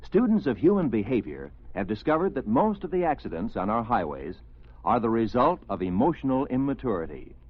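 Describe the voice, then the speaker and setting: Casting: American man for old-time radio announcement, animated intonation, earnest instructive read, dry studio sound. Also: mid-range voice, no echo, muffled recording. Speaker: public service announcer. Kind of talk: scripted mid-century radio safety message.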